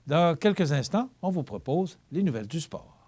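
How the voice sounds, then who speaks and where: A man with a Québécois French accent, anchoring a local news bulletin in a steady, measured read with the odd small slip. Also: a little high, slight background noise. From Quebec.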